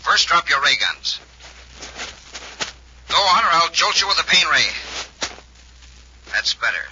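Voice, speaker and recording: A man's voice: fairly high pitch, big pitch swings, measured pace, pushed and bright, exaggerated voice. A man playing a gloating mastermind villain in 1950s radio style, menacing and smugly polite, on a muffled, noisy old recording. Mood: smug command. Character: gloating male mastermind villain with a super brain.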